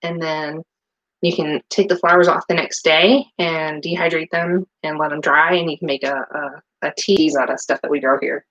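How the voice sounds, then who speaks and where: A woman's voice: low-pitched, steady tone, moderate pace, a bit bright, lo-fi sound. A middle-aged woman from New England chatting casually in her home kitchen while she makes soap, rambling with lots of fillers. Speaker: soap maker and vlogger.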